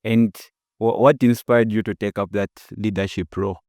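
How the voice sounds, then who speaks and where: A man hosting a podcast interview, asking questions in a relaxed way and affirming with short "yeah" responses. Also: fairly low pitch, moderate pace, no room sound, studio quality. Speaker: podcast host.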